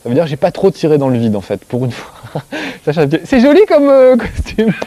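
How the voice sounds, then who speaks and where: A man's voice: on the high side, wildly swooping pitch, brisk, dark tone. A man with a Corsican accent, hosting a video game show and commenting as he plays, joking and mocking himself. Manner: joking, self-mocking, chatty. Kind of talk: casual gameplay commentary to camera. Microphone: close framing, tight on face.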